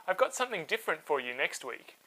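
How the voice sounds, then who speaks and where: Male storyteller and host for children's narration: animated, bright, dramatic, clear phrasing. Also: a little high, fast talker, rough recording.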